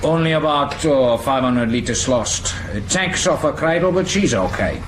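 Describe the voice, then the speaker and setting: A man's voice: mid-range voice, expressive, moderate pace, lightly acted. A man acting a practical, unfussy engineer in an audio drama, laconic and matter-of-fact. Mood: reassuring. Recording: roomy, slightly noisy recording.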